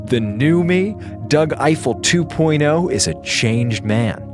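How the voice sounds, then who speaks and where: An actor playing a chatty, sarcastic space station communications officer with an American accent, logging theatrically in a radio drama. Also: male voice, on the high side, expressive, slow. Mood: forced cheer. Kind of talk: radio drama audio log monologue. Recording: music behind the voice.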